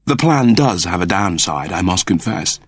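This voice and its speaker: A man's voice: low-pitched, animated, normal speed, no room sound. A man voicing a polite, anxious robot servant, deferential and formal, in an audiobook story. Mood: persuasive. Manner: deferential, formal, precise wording.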